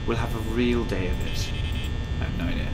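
Hoarse young man playing a game. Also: on the low side, brisk. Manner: chatty, restless and bored, with bursts of excitement. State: sore throat, slightly hoarse and nasal. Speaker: gaming video creator. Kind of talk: casual gameplay commentary. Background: game music and mains hum.

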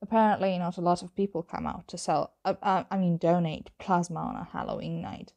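A woman playing a tired, secretive vampire roommate in an ASMR roleplay, speaking softly with hesitant, evasive stammers. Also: lowish voice, fairly even intonation, brisk, natural voice. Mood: wry, tired. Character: flustered young female vampire roommate, tired, hungry, secretive. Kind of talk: ASMR roleplay, soft conversational acting.

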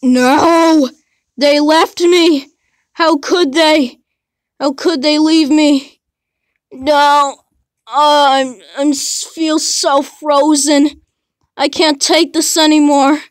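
A woman voicing a crazed man who hunts his family through a haunted hotel, in a lowered, taunting, menacing voice. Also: fairly even intonation, drawn-out words, dry recording, clean studio sound. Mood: despairing. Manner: menacing, taunting, deep put-on voice.

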